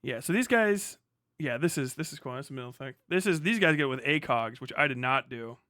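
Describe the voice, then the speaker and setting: A man's voice: mid-range voice, lively intonation, a little fast, pushed and bright, dry recording, clean studio sound. A middle-aged man giving casual, rambling reaction commentary as a livestream host, full of slang and swearing. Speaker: livestream host, former Marine.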